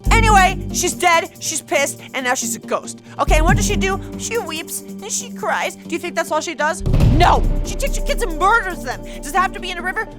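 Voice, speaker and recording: A woman's voice: very high voice, brisk, few pauses, over music. A woman hosting, telling comedic stories in a casual, dramatic, jokey ranting style. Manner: animated, dramatic, jokey delivery. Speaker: host. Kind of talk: comedic storytelling, casual rant.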